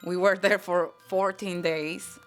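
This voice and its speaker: A woman reflecting personally in a documentary interview, halting as she searches for words, with some creak in her voice. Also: lowish voice, fairly even intonation. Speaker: documentary interviewee, patient's partner. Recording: music behind the voice.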